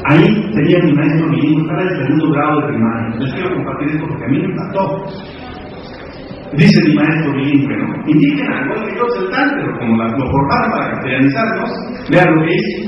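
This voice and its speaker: A man's voice: a little high, expressive, a little fast. Tzeltal-accented man lecturing in an echoey hall. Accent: Tzeltal-accented Spanish. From Mexico. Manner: earnest, storytelling, rhetorical questions. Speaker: lecturer, former bilingual teacher. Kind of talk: lecture to an audience. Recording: echoey hall from far off, dull lo-fi, ambient noise.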